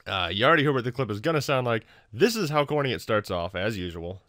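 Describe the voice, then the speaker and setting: A man hosting a casual software tutorial in an informal, wry, self-deprecating way. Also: mid-range voice, very animated, fast talker, no echo, clean studio sound. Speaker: tutorial host.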